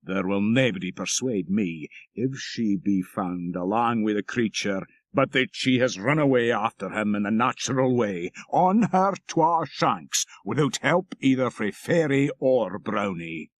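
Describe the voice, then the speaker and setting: Man acting a dour, animated old Scottish shepherd. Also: fairly low pitch, a little slow, hardly any pauses, no room sound. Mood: stubborn. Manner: preachy, emphatic, sententious.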